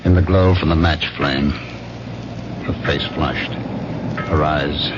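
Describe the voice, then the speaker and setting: Deep-voiced brooding jealous husband. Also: male voice, fairly even intonation, takes it slowly. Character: jealous, brooding betrayed husband narrating his own crime story. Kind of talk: 1970s radio drama narration. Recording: dull old radio broadcast over loud music.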